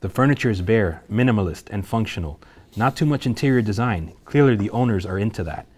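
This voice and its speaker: A man with a fairly low voice narrates a film script. He reads scene headings and action lines aloud in the present tense, as the stage-directions reader at a table read. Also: animated, fairly quick, darkish tone, breathy edge.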